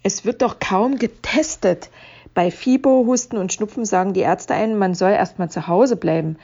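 A woman's voice: mid-range voice, normal speed, warm tone, breathy edge. A woman playing a blunt online commenter in a social media audio collage, slightly muffled in sound. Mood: skeptical, indignant.

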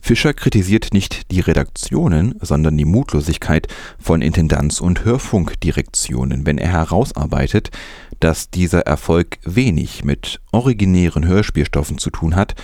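A man's voice: low voice, a little mellow, dry recording, clean studio sound. A man hosting a news programme, reading scripted news with some commentary. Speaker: podcast news host.

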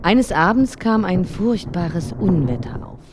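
A woman reading a fairy tale aloud as a bedtime story in a gentle, expressive way. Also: on the low side, measured pace, noisy background.

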